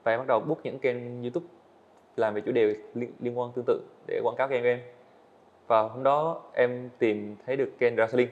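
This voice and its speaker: An earnest eighteen-year-old man tells his story to a podcast host. He talks quickly at a mid pitch, and the sound is a little dull. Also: fairly even intonation. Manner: earnest, storytelling, answers politely with "dạ vâng". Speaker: guest, animator. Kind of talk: podcast interview. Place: indoor studio set.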